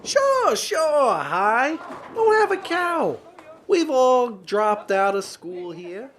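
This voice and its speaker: An actress playing a breezy 1950s bowling alley regular in a radio drama, teasing and placating a buddy. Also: female voice, on the high side, big pitch swings, very slow, slight background noise, heavily acted.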